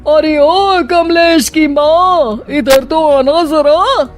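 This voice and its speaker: A performer voicing an older father-in-law in a cartoon story, a teasing husband with theatrical, drawn-out calls. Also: high-pitched, faint music behind. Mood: wheedling, flattering.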